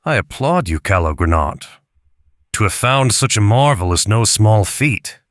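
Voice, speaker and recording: A man acts out a senior knight of Arthurian legend with a fairly low voice. He is composed, courteous and lordly, with old-fashioned courtly wording. The sound is close and dry, as in an audio drama. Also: animated, unhurried, a bit bright, dry recording. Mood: approving.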